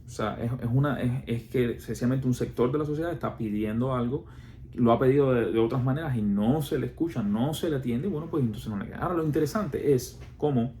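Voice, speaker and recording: A man's voice: mid-range voice, darkish tone, a little hiss or hum. A man from Cuba with a Cuban Spanish accent, explaining his views in casual talk, full of fillers, restarts and rhetorical questions.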